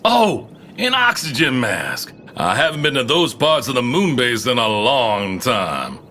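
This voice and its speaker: An actor playing an eager, chatty moon base explorer in an audio drama, musing to himself in an animated way. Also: male voice, medium pitch, unhurried, a little hiss or hum, heavily acted. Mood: eager, muttering. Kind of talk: scripted audio drama monologue.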